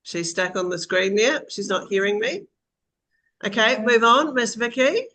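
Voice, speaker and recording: A woman's voice: on the high side, animated, unhurried, sharp tone. A woman hosting a casual group video call in an informal, teasing way. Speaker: host.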